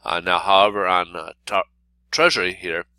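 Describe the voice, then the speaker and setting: A man's voice: low voice, moderate pace, bright, forceful tone, clean tone, dry recording. A man with a cold and a congested voice, giving a casual daily market report with lots of fillers and restarts. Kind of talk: unscripted solo market commentary over slides.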